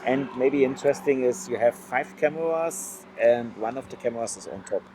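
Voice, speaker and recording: A man interviewing a company founder, asking technical questions in halting, non-native phrasing while he searches for words. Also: medium pitch, darkish tone, a little hiss or hum.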